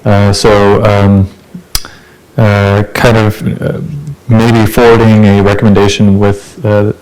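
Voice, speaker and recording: A man on city staff at a public meeting, calling the roll and answering halting, with many fillers. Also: lowish voice, little pitch movement, darkish tone, rough recording. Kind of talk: meeting procedure.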